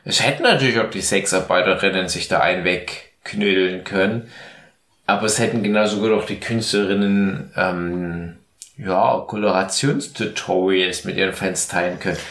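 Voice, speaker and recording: A man's voice: deep voice, moderate pace, fairly bright tone. A man chatting casually and ironically as a comedic podcast host, rambling and drunk, in an echoey recording. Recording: echoey, roomy sound.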